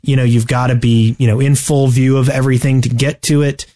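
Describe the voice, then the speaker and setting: A man co-hosting a podcast, talking through game strategy casually and haltingly as he thinks aloud. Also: medium pitch, level tone, dark tone. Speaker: podcast host.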